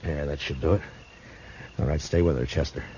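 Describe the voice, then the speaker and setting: An actor playing a steady, terse, authoritative lawman in the style of a 1950s radio western. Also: rumbling low, brisk, slight background noise. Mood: brisk, commanding. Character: steady, commanding Old West lawman. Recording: dull vintage radio recording.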